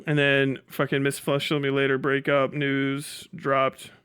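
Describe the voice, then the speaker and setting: A man's voice: medium pitch, monotone, measured pace, fairly bright tone, clear, resonant tone. A man doing casual livestream commentary, swearing and muttering to himself at a close mic. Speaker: livestream host reacting to a video.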